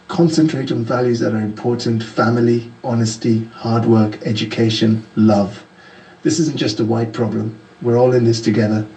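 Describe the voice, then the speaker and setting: A man speaks in an earnest, measured way, and his voice sits fairly low. His voice is gravelly. He sounds far from the mic in an echoey room. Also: dark tone. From Ghana. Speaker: caller sending a message to the show.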